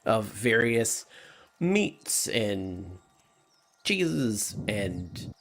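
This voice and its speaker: A man improvising narration as game master of a tabletop game, in a casual, halting way. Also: on the low side, sing-song, fairly bright tone.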